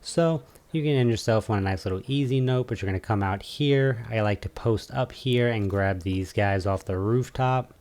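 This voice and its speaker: A man's voice: lowish voice, moderate pace, few pauses, darkish tone. A man giving a casual, easygoing video game walkthrough as an instructional guide.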